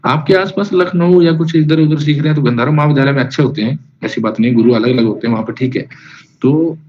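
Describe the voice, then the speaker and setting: A man's voice: fairly high pitch, quick pace. Casual male music teacher on a lo-fi call. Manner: casual, rambling, talks directly to students and sings note names. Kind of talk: online singing class lecture. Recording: lo-fi online class audio with faint noise.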